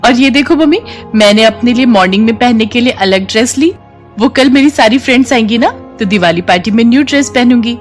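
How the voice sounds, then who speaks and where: A woman voicing a pampered, excitable rich little girl in a storybook tale, with an eager, childlike manner. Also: fairly high pitch, a little fast, faint music behind, natural voice. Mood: excited, demanding.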